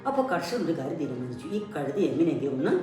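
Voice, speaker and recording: A woman with a low voice tells a children's story like a teacher, prompting with questions and repeating key words. The recording is very echoey and distant from the mic, with music behind her. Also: sing-song, racing. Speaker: puppet show host, storyteller.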